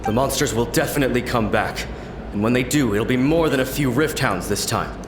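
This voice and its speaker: A man's voice: medium pitch, a little fast, noisy background, close to an everyday voice. A man voicing a loyal, formal young samurai soldier in a game. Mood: earnest. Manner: formal and dutiful. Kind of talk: game voice acting. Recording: roomy and distant under music.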